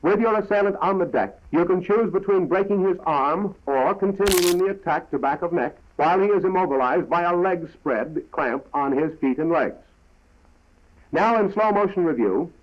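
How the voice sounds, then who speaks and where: An American man narrating a 1940s military training film in a formal, clipped, instructional manner, on a dull vintage soundtrack. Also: high voice.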